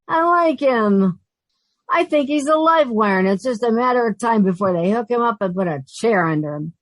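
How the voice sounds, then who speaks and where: A woman's voice: on the high side, lively intonation, moderate pace, no echo, lightly acted, low-quality recording. Woman playing a wry, wisecracking radio sitcom secretary. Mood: sardonic, exasperated. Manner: wry, sardonic quips. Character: wisecracking, exasperated but fond working woman, a secretary. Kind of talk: old-time radio sitcom acting.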